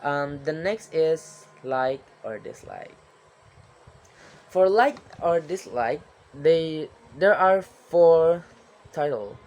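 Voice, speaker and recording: A young man giving a spoken exam presentation as a lesson, talking haltingly with frequent restarts and non-native grammar. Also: a little high, lively intonation, a little mellow, lo-fi sound.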